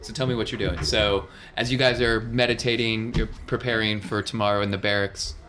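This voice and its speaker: A man's voice: fairly low pitch, steady tone, brisk, background noise. A man acting as game master, improvising narration and talking through rules at a tabletop game.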